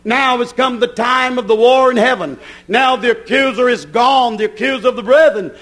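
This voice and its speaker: High-pitched man preaching a fervent sermon. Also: moderate pace, a bit bright. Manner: emphatic, repetitive, incantatory phrasing; poses rhetorical questions and answers them.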